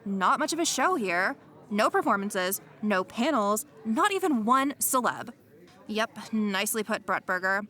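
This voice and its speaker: An actress playing a snarky young social media influencer in a comedy audio drama, mocking and slangy. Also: female voice, mid-range voice, expressive, measured pace, bright, forceful tone. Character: snarky young female influencer obsessed with livestreaming.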